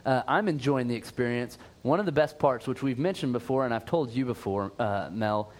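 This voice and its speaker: A man's voice: mid-range voice, fairly quick. Chatty male podcast host, lots of "uh". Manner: chatty, filler-heavy, upbeat. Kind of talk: casual podcast chat.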